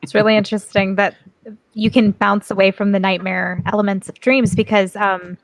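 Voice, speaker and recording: Woman podcast host, chatty and thoughtful, middle pitch, dry close mic. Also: little pitch movement, moderate pace, creaky, no room sound, rough recording. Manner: chatty, thoughtful, laughs easily. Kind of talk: casual chat.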